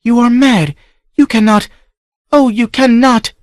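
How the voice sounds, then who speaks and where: A man's voice: very high voice, lively intonation, moderate pace, a little mellow, no echo, clean studio sound, voice pushed up. A man reading aloud, voicing an outraged young noblewoman who cries out shrilly at her uncle.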